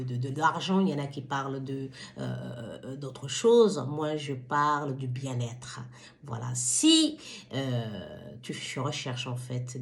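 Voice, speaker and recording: A woman from Somalia hosting her own wellbeing vlog, speaking to viewers in a hesitant but impassioned, exhorting way. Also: extremely low pitch. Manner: hesitant, stumbling over words. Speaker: channel host.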